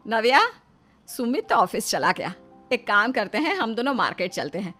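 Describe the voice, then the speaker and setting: Motherly, higher-pitched middle-aged mother-in-law, acted by a woman. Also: big pitch swings, normal speed, bright, forceful tone, soft background music. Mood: soothing. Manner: motherly, explaining. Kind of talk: cartoon story acting.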